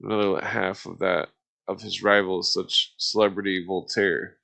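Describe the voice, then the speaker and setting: A man reading aloud casually on a live stream, halting and stumbling over formal text, with muttered asides. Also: low-pitched, level tone, creaky, pushed and bright, slight background noise.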